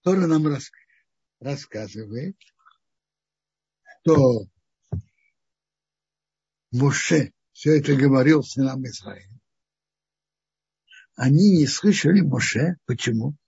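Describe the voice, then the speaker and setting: A man teaching the weekly Torah portion in a halting, thinking-aloud way, often restarting his phrases. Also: medium pitch, lively intonation, vocal fry, a little mellow, husky, highs cut off.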